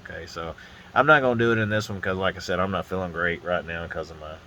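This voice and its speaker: A man's voice: low-pitched, fast, a little hiss or hum. A man with a stuffy nose from a sinus infection, casually talking through a model build at a table, with frequent uh's and restarts. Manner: rambling, relaxed, frequent uh and restarts. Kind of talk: casual hands-on build commentary. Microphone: phone on a holder arm.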